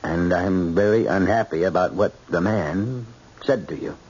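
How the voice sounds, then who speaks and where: A man's voice: deep voice, unhurried, darkish tone, slightly rough. An actor playing a stern but conciliatory middle-aged American father, a judge, in an old-time radio drama. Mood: uneasy, disapproving. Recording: dull old radio broadcast with hiss.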